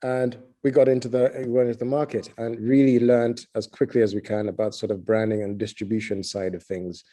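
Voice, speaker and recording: A middle-aged man from Uganda, a head distiller, answering interview questions in a relaxed way with many fillers. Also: mid-range voice, fairly quick.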